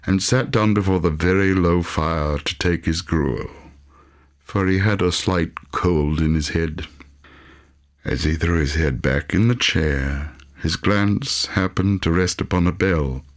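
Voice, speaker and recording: Very deep, slow-reading male storyteller. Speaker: audiobook reader, actor. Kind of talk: dramatic storytelling, scripted narration of a classic tale.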